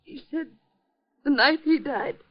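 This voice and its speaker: An American actress playing a terrified, haunted young woman in a 1950s radio drama, halting and stammering breathlessly. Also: high-pitched. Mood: anxious, haunted. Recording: muffled, noisy vintage radio recording.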